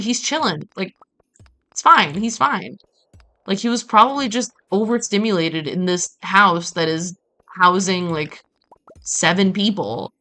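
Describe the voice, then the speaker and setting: A woman from Brazil chatting casually as she streams a game, telling stories in an animated way with playful asides. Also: medium pitch. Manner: animated storytelling with acted-out quotes and playful asides. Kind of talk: casual chat while playing a game on a livestream.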